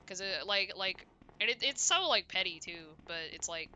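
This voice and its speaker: A young woman chatting casually and loudly on a game livestream, rambling and often cutting herself off as she plays. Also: medium pitch, expressive, normal speed, soft background music. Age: young adult. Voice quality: loud voice. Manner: rambling, self-interrupting, frequent "like". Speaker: video game streamer. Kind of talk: casual livestream chat while playing.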